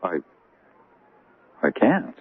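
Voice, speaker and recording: A man playing a deferential, evasive junior bank assistant in an old-time radio drama, polite and hesitant. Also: very slow. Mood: uneasy, careful. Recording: tinny band-limited radio drama recording.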